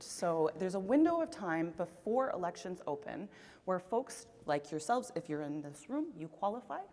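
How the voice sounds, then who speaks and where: A woman addresses a conference audience from the stage, encouraging and lightly laughing. Her voice sits at a middle pitch and carries a long reverb from the large hall. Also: darkish tone. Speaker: event host.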